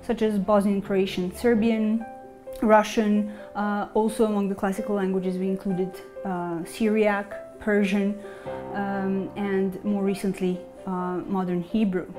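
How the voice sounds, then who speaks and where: A woman faculty member speaking to camera in an office, measured and listing items with pauses. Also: mid-range voice, flat delivery. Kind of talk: interview to camera. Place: office with bookshelves. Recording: soft music behind.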